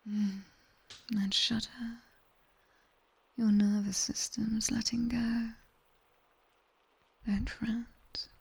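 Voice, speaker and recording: Woman as a slow, whispering British demoness tutor. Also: on the high side, level tone, a little mellow, full character voice. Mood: soothing, instructing. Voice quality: breathy whisper. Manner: slow, commanding, teasing. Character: sharp-tongued seductive female nightmare demon tutor. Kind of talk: whispered ASMR roleplay, guided body scan. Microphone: close binaural microphone.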